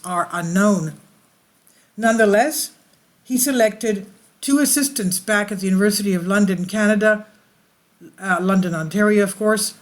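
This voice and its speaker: A woman hosting her channel, reading an article aloud and often stumbling and correcting herself mid-sentence. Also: mid-range voice. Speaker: channel host.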